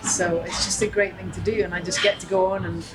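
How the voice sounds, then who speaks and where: A woman from Scotland with a Scottish accent, a musician guest chatting in an animated way and laughing easily. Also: lowish voice, brisk, noisy background. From Scotland (St Andrews). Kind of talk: casual chat. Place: busy public spot in Los Angeles. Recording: loud crowd chatter behind.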